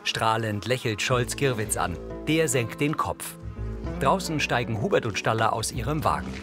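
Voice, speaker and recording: A man's voice: mid-range voice, lively intonation, normal speed, music in the background. A man reading scripted audio description of a drama's on-screen action.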